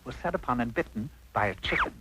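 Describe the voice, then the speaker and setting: Animated, wry storybook narrator man. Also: mid-range voice, quick pace, very noisy, natural voice. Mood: wry, brisk. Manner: arch, dramatic. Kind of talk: scripted comic fairy-tale narration.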